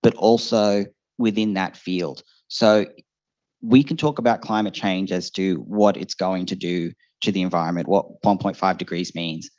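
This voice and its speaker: A man's voice: sits low, steady tone, moderate pace, darkish tone. A young man in his twenties answering on a discussion panel, thinking aloud with fillers and restarts. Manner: thoughtful, many fillers and restarts. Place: seminar hall before an audience. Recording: muffled lo-fi event recording.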